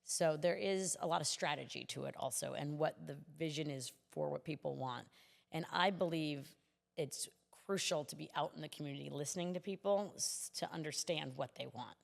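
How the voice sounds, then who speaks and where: A woman's voice: low voice, normal speed, creaky, in a large hall. A woman speaking as a city commissioner in a conversational interview, explaining things earnestly.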